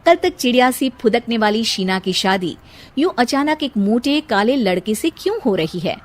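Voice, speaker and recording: Female storyteller, slightly high pitch, scripted narration for family cartoon drama. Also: expressive, moderate pace.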